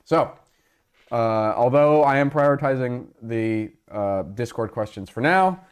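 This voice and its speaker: A man's voice: mid-range voice, unhurried, clear, resonant tone. A man hosting a casual livestream, rambling and joking as he talks to his chat on a lapel mic. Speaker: livestream host.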